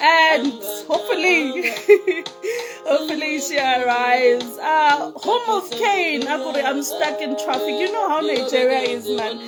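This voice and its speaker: A high-pitched woman hosts a live online chat show. She talks playfully and laughs a lot, and music plays clearly behind her voice. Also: animated, unhurried. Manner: chatty, playful, laughs often. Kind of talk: casual live-stream chat.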